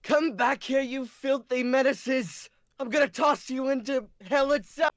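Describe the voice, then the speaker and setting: A woman's voice: high-pitched, steady tone, measured pace, dry recording, studio quality. A woman voicing a furious anime girl who barks threats in a comic dub. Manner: menacing, barked threats.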